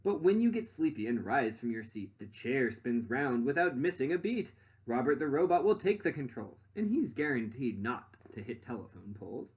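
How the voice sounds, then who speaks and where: A man voicing an eager, boastful boy inventor from a storybook, presenting his dream creation like an excited showman. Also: medium pitch, moderate pace, natural voice. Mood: proud, excited.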